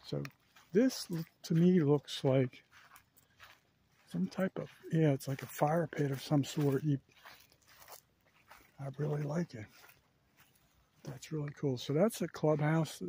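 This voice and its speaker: A man's voice: a little high, steady tone, takes it slowly, long pauses, dark tone, husky. A man narrating a casual walking tour of a campground, thinking aloud with lots of fillers, recorded outdoors on a handheld camera. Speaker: vlogger giving a walking tour. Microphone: handheld camera while walking.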